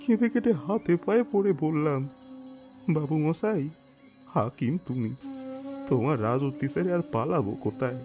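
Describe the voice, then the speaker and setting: An actor with a rural Bengali accent playing a poor, feverish peasant father, meek, halting and pleading, in an audio drama. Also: a little high, lively intonation, over music, lightly acted. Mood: anguished pleading. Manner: plaintive, humble, halting. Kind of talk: audio story drama acting.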